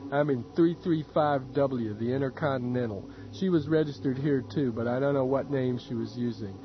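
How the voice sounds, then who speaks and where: A man voicing a tense young male hacker, talking clipped, blunt and fast. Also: medium pitch, dull audio, soft background music, close to an everyday voice. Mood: urgent. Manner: clipped, quick, urgent.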